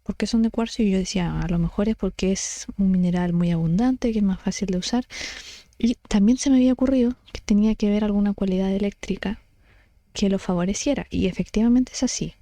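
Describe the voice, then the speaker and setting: Mellow-voiced woman thinking aloud casually. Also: medium pitch, brisk, no echo. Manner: informal, thinking aloud, self-correcting. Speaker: book study vlogger. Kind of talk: casual explanatory chat.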